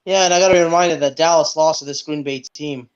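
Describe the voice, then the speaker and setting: Higher-voiced male co-host on muffled audio. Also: fairly even intonation, quick pace. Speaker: sports podcast co-host. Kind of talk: casual sports chat. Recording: dull, lo-fi audio.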